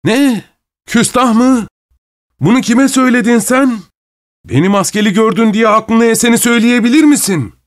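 A man playing an arrogant drunken bully, pitched high and theatrical. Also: expressive, slow pace, dry recording, clean studio sound. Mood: furious, imperious. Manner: imperious, taunting, insulting.

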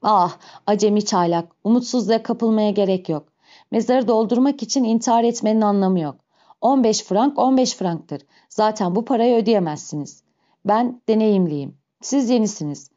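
A shrewd old gardener who schemes, then turns to anguished muttering with sudden raised cries, as a woman reads him aloud. The tone is mellow and warm. The recording sounds a little dull. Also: mid-range voice, moderate pace, lightly acted. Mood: scheming.

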